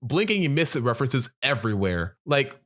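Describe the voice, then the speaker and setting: A man co-hosting a casual movie review podcast, talking in a wry, offhand way, his voice going a little raspy. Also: mid-range voice, big pitch swings, fairly quick, fairly bright tone. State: slightly raspy voice. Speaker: podcast host.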